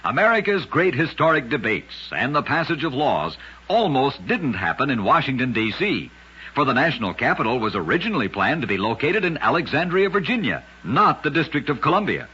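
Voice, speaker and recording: A man narrates like an old-time radio host, with a very husky, rough-edged voice that still cuts through brightly. His scripted delivery is dramatic and lively in pitch. The recording is dull and lo-fi, like an old broadcast. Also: a little fast. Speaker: host and storyteller of a radio show.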